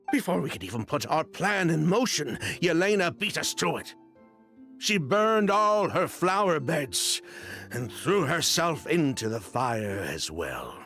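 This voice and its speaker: An actor playing an evasive, self-justifying criminal in dramatic video game dialogue, with wry, sighing delivery. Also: male voice, medium pitch, a bit bright. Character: evasive, defensive criminal man confessing past wrongdoing.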